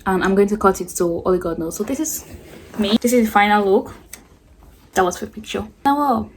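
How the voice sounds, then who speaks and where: A teenage girl talks freely as she does her makeup on camera, wandering from topic to topic. Her pitch sits in a middle range, and her manner is loose and chatty. A faint hiss runs underneath. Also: a little mellow. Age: late teens. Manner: rambling, chatty, self-described yapping. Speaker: beauty vlogger. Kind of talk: get-ready-with-me chat while doing makeup.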